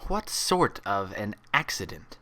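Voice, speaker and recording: A man's voice: lowish voice, sing-song, measured pace, sharp tone, exaggerated voice. A man voicing a stern female unicorn head nurse in a story reading, patronizing and controlled. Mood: cold, flat. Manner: patronizing endearments, cool professional tone.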